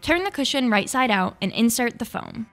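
Craft tutorial host: young female, fairly high pitch, scripted instructional read over background music. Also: lively intonation, moderate pace. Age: young adult.

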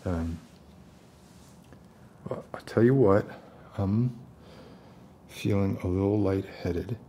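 A man in his forties playing an unstable post-apocalyptic survivor in an ASMR roleplay, coaxing softly in halting, rambling phrases. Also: low voice, slight background noise. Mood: weary, reassuring.

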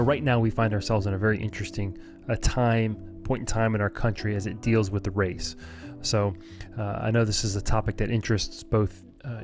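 A man co-hosting a podcast, introducing the guests in an earnest, measured way with frequent fillers. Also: lowish voice. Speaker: podcast co-host.

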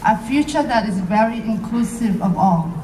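A woman from Somalia with a Somali accent, giving a public speech at a rally in short, measured phrases. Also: on the high side, expressive. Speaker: rally speaker. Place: outdoor rally, recorded from within the crowd. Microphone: podium microphone heard from far camera. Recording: distant, echoey outdoor PA with noise.

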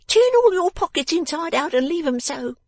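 A woman voicing a sharp-tongued, bossy, vengeful young woman in a storybook, with emphatic, snapping speech. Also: very high-pitched, very animated, normal speed, no echo, pitched above the performer's own voice, heavily acted. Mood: snapping, scolding. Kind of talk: dramatised storybook reading.

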